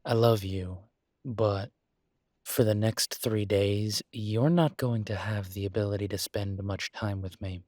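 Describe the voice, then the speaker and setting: A man's voice: lowish voice, fairly even intonation, measured pace, darkish tone, no room sound, studio quality, close to an everyday voice. A man playing an easygoing, supportive boyfriend in an intimate one-sided roleplay audio, talking casually and reassuringly with folksy turns of phrase. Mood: reassuring, matter-of-fact.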